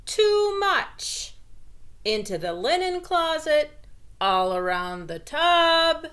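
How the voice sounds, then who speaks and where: A woman reading a children's picture book aloud in an expressive, deliberate way. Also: sits high. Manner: slow, deliberate, explanatory delivery for children. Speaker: storybook reader.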